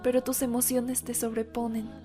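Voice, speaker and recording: A woman acts as a female cartoon unicorn, grave and rational. Her voice is high, with very little rise and fall. She speaks in a measured, solemn way over prominent music. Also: completely flat delivery, close to an everyday voice. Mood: solemn, reasoning. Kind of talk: cartoon fandub acting.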